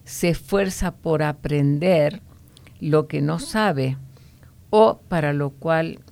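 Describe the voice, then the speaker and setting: Low, gravelly woman teaching the Bible. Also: a little mellow. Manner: explanatory, often ending points with a questioning "no?"; unhurried, with frequent hesitation fillers.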